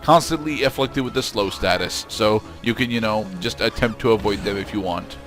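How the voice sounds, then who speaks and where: A man giving explanatory let's play commentary in a halting way, often restarting his phrases. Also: on the low side, over music. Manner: halting, hesitant, with repeated restarts.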